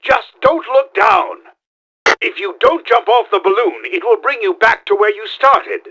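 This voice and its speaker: A bombastic mad-scientist game villain, pitched high with wild, swooping intonation. The gravelly voice is forceful and theatrical. He is pompous and scheming, a middle-aged schemer who performs every line. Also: sing-song, a little slow, highs cut off, background noise, exaggerated voice. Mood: bossy, scheming. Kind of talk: exaggerated video game voice acting.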